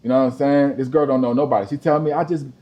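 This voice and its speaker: A man, co-hosting a podcast. He tells profane stories, dropping to a low mutter and then breaking into loud outbursts. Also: fairly even intonation, racing, highs cut off. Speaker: podcast co-host. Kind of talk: casual chat, storytelling.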